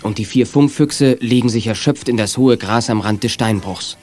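A man's voice: medium pitch, moderate pace, a little mellow, natural voice. A man narrating a children's adventure radio play in a scripted, dramatic storyteller's style. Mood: scene-setting.

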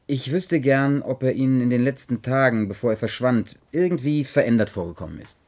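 A man's voice: medium pitch, fairly quick, a little mellow, lightly acted. An actor playing an earnest, polite investigating chronicler in a German radio play, hesitant but persistent in his questions. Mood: preoccupied, inquiring.

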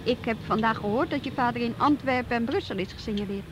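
A woman acting a friendly, protective policewoman in a Dutch radio drama, conversational and gently probing, with tired wryness. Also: on the high side, fast, close to an everyday voice. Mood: caring, tired. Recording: radio play with loud background noise.

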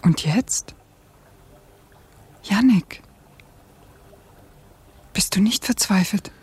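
A tender yet disillusioned revolutionary in a radio drama. Her voice is very husky with breath, dark and slow, hushed and grave. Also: mid-range voice, expressive, whispered, full character voice. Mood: anxious, tender. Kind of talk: radio play acting.

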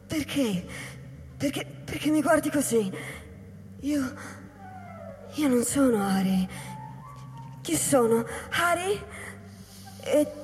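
An anguished, bewildered female replica of a human, speaking slowly and haltingly in theatrical radio drama style. Her higher-pitched voice rings in long reverb, with music faintly behind it. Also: full character voice. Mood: anguished, frantic. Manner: halting, fragmented, intense delivery with hushed passages. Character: anguished, bewildered young woman, an alien-made replica of a human.

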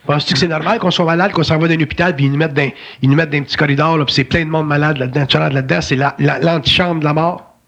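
A man's voice: fairly high pitch, fairly even intonation, very fast, gravelly, fairly bright tone. A man with a Québécois French accent, giving emphatic, colloquial spiritual talk in a philosophical conversation. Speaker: spiritual speaker.

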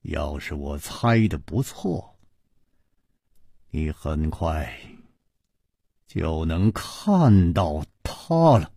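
A man voicing a calm, gentle, confident hero detective in a martial-arts audiobook, speaking in hushed, deliberate words. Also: very deep voice, vocal fry, slightly rough, dry recording, clean studio sound, pitched below the performer's own voice, exaggerated voice. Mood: assured. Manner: hushed, slow, deliberate, word by word.

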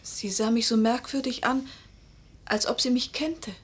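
An actress playing a bewildered, introspective woman of forty-one, narrating and speaking in a German radio play with a hushed, breathy, searching delivery. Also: fairly high pitch, steady tone, a little slow. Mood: confused, hesitant.

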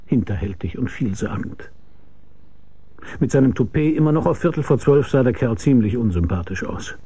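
Hard-boiled radio drama lead: cynical investigator, husky and creaky, laconic, dry delivery. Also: male voice, medium pitch, animated, moderate pace, warm tone. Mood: dry narration. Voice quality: gravelly, husky. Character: world-weary, cynical male private investigator. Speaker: narrator and lead character.

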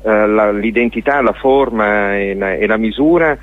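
A man's voice: lowish voice, moderate pace, no echo. A man being interviewed on radio as a guest artist, explaining a show discursively and searching for words. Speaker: guest, theatre and dance artist. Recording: narrow tinny phone-like line.

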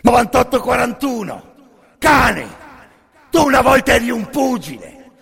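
A man's voice: very high-pitched, very animated, a little slow, vocal fry, fairly bright tone, breathy edge, in a large hall, faint music behind, pitched above the performer's own voice, exaggerated voice. A man voicing a brutal, contemptuous Nazi camp guard commander giving orders in a radio theatre monologue. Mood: contemptuous, commanding.

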